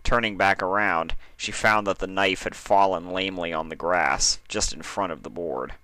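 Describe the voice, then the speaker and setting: A man narrates fiction from a script. His voice is low but bright and crisp-edged, and the recording is dry, with very little room sound. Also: fairly even intonation, normal speed, no echo. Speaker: fan fiction reader, narrator.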